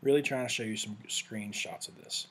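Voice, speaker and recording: A man giving a casual, rambling screen-share walkthrough of study software, often correcting himself mid-sentence. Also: lowish voice, moderate pace, slightly rough. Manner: rambling, self-correcting, conversational. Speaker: vlogger reviewing software.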